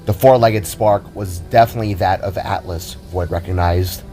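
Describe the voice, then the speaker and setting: A man's voice: deep voice, steady tone, moderate pace, warm tone, soft background music. A man narrating a scripted audio drama in a dramatic storytelling style.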